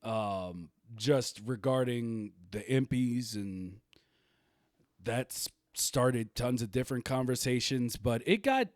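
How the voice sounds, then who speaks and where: A man's voice: medium pitch, lively intonation, takes it slowly, no echo, clean studio sound. A man hosting a livestreamed podcast, talking casually and rambling, laughing easily. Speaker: podcast host.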